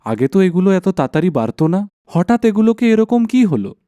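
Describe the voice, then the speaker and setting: A man playing an anxious, caring village husband in a dramatised animated horror folk tale, with earnest delivery. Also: sits high, brisk, no echo, clean studio sound. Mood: concerned.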